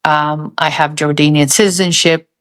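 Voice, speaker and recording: A woman who grew up in Saudi Arabia, telling a personal story in a thoughtful, halting way with many pauses. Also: deep voice, steady tone, a bit bright. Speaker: podcast host.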